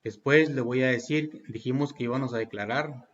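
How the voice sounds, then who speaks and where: A man's voice: mid-range voice, normal speed, highs cut off. A man teaching programming in a tutorial, walking through each step patiently with fillers and self-corrections. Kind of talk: screen-recorded tutorial walkthrough.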